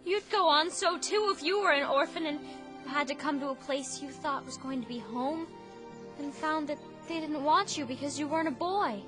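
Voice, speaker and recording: An actress voicing a talkative, imaginative orphan girl with a dramatic, romantic way of speaking, in an audio drama with soft music underneath. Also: high-pitched, moderate pace, lightly acted. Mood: distraught. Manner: breathless, effusive, theatrical.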